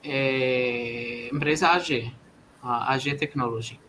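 A man's voice: mid-range voice, takes it slowly, bright, forceful tone, slight background noise. A man who learned Portuguese as a second language, talking hesitantly with many restarts during a live stream chat. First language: not Portuguese. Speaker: guest developer.

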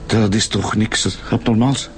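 A man's voice: on the low side, fairly even intonation, measured pace, natural voice. A man with a Flemish accent playing an uneasy, evasive husband in a radio drama, speaking hesitantly.

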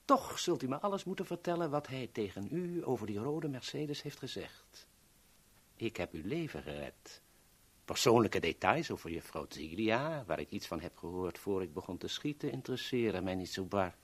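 A cold-blooded but courteous gunman from a 1970s radio drama. His voice is rough and husky, with lively intonation. He speaks formally and deliberately, drily polite. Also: lowish voice. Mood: insistent. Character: courteous, cold-blooded male gunman, dryly matter-of-fact.

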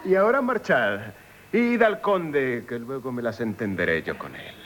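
Proud, theatrical gentleman, animated Castilian voice. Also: mid-range voice, moderate pace, a little hiss or hum. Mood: sly, commanding. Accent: Castilian Spanish. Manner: theatrical, imperious, stammering when flustered. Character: rich, proud misogynist gentleman, flustered by love. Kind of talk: Spanish radio theatre acting.

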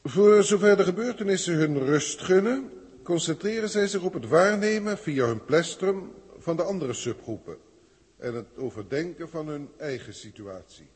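An actor playing a haughty, impatient alien official who talks formally and pompously in a 1960s science fiction radio play. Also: male voice, a little high, expressive, normal speed, a little mellow, slightly rough. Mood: formal reporting. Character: haughty, impatient male alien senior proctor filing reports. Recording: echoey hall effect, old radio recording.

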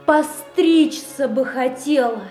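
An actress playing a grief-stricken young noble princess, speaking in a declamatory, mournful way in a theatrical radio play. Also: female voice, sits high, slow pace, darkish tone. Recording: roomy, with violin music underneath.

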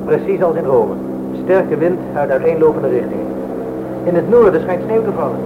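A man's voice: on the high side, animated, normal speed, natural voice. An actor playing a dutiful male airliner radio operator in a radio drama, deferential and brief in his replies. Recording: thin phone-like sound, noisy engine cabin. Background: aircraft engine noise.

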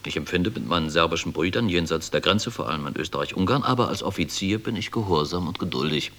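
Deep-voiced, sardonic army major. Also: male voice, animated, quick pace, a bit bright. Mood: measured. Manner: sardonic, condescending, chuckling at the young. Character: wry, guarded army major, patronizing yet obedient volunteer leader. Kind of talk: German radio drama acting.

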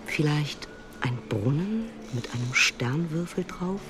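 Male narrator, unhurried, slightly high pitch, observant, literary radio play. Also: lively intonation, loud music behind the voice. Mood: measured, observant. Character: man recounting an odd night and morning, observant.

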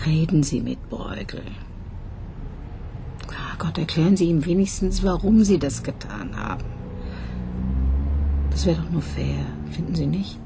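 A woman's voice: low voice, lively intonation, unhurried, long pauses, exaggerated voice. Wry, teasing woman detective. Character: dry, witty woman police detective. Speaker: homicide detective. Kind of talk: radio crime drama acting. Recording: radio play with clear background noise.

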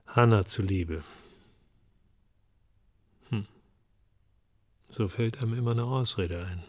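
A man acting a weary, anxious writer in a radio drama, speaking in terse, halting fragments. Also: on the low side, gravelly, breathy edge, heavily acted. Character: weary, despairing male writer banned from writing, anxious.

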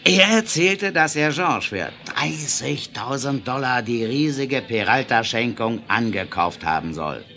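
An actor playing a reformed Wild West bandit in a 1970s German audio drama, gossiping in a folksy, conspiratorial way. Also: male voice, medium pitch, lively intonation, unhurried, hardly any pauses, pushed and bright. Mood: confiding, gossipy. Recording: noisy old vinyl radio-play recording.

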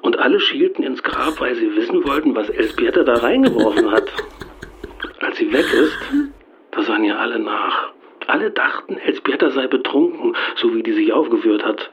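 A man's voice: mid-range voice, lively intonation, normal speed, fairly bright tone, slightly rough. A man acting in a radio drama, playing a wry, observant man who recounts what he has seen. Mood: wry recounting. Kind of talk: radio drama acting, narrating a recent event.

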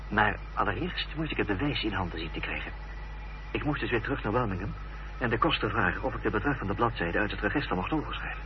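Actor as an earnest storyteller, muffled old recording. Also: male voice, on the low side, background noise, close to an everyday voice. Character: earnest gentleman narrating his own suspenseful story. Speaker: narrator. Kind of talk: dramatic scripted narration in a radio play. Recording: dull, faintly humming old radio recording.

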